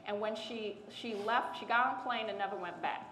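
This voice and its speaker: A woman panelist telling a family story in an animated way, repeating words for emphasis. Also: mid-range voice, brisk, reverberant, a little hiss or hum, rough recording.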